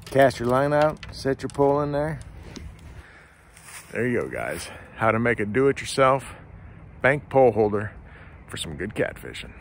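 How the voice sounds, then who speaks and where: A man, hosting a casual outdoor how-to video with a relaxed, folksy way of talking. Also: mid-range voice, measured pace, gravelly, long pauses. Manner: relaxed, folksy, repeats himself with fillers. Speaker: fishing channel host. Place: outdoors by a wooded riverbank. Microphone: camera on a tripod a few feet away. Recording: outdoor noise, traffic in the background.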